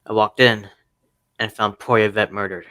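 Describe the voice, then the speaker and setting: A man playing a lovesick, hot-headed young gentleman in an amateur radio mystery play. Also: fairly low pitch, flat delivery, moderate pace. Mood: agitated.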